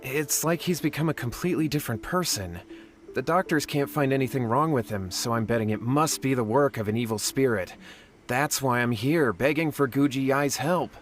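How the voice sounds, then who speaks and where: A man's voice: medium pitch, moderate pace, lightly acted. A man voicing a desperate young man in a video game, earnest and anxious as he pleads for help.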